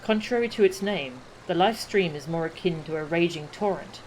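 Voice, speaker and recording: A woman's voice: on the low side, normal speed, darkish tone, slight background noise, natural voice, poor audio. A woman reading out a solemn, scholarly man's game lines in formal, old-fashioned speech. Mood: grave. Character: solemn scholarly man speaking archaic English. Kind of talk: reading game dialogue aloud.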